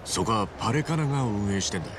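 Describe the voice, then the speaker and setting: A man playing an earnest, hot-blooded middle-aged hero in a game, with heartfelt, rough-edged phrasing. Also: on the low side, expressive, quick pace, background noise, natural voice. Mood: determined. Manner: rough casual speech, heartfelt. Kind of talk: Japanese game voice acting. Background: music.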